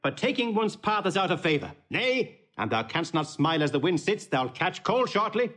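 An actor playing an impudent, riddling court jester in a Shakespearean audio drama, with a playful, mocking delivery. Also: male voice, sits high, very animated, moderate pace, fairly bright tone, heavily acted. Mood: teasing. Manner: mocking, sing-song, playful.

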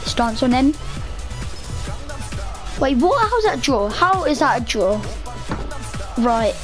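Slow-talking young woman with a cough. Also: high-pitched, big pitch swings, soft background music. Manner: chatty, rambling, self-talking. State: slightly rough voice from a cough. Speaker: gaming video creator. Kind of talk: casual gameplay commentary.

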